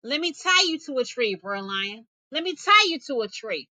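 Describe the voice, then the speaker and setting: A woman's voice: high-pitched, very animated, moderate pace, sharp tone, no echo, full character voice. A woman voicing a sly, unbothered male trickster rabbit from a folk tale in folksy dialect. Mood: coaxing.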